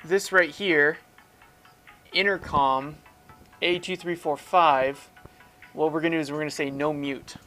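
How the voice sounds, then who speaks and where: A man with a voice pitched a little above average leads a casual, hands-on technical lesson. He talks through each step as he does it, often posing a question and then answering it himself. Music plays behind his voice. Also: steady tone, measured pace, long pauses, pushed and bright. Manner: informal, self-questioning, explains aloud while demonstrating. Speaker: instructor. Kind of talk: casual step-by-step tech tutorial.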